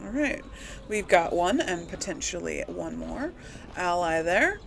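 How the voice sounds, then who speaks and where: A woman giving casual game commentary as she plays, musing and thinking out loud to her audience. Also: lowish voice, big pitch swings, a little slow, vocal fry, a little hiss or hum, poor audio. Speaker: gamer, host of a role-play let's play.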